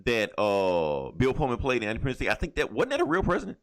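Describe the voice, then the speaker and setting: A man's voice: mid-range voice, wildly swooping pitch, fast talker. A man co-hosting a podcast in casual, opinionated fan banter with plenty of swearing. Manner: profane, opinionated fan talk. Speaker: podcast co-host. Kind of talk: casual pop-culture banter.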